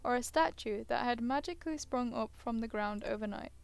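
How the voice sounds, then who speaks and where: A woman's voice: fairly high pitch, a little fast, vocal fry, clear, resonant tone, dry recording. A woman gaming streamer reading a visual novel aloud, casually breaking off into hesitant asides and sounding tired. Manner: hesitant, self-interrupting asides. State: tired, yawning.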